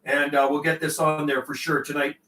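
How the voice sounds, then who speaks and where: A man's voice: on the high side, level tone, a little fast, sharp tone. An older man, chatting casually and calling out as a CB radio operator on a livestream, in a folksy way with repeated radio phrases. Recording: roomy, distant mic, faint noise.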